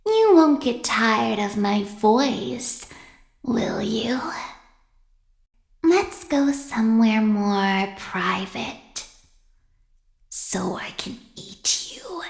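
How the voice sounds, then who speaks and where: Creepy hungry robot chicken for a horror game, taunting, croaky and whispery, echoey. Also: female voice, on the high side, sing-song, very slow, pauses often, heavily acted. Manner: taunting, drops into breathy whispers. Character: sinister hungry female animatronic chicken. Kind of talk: video game character acting.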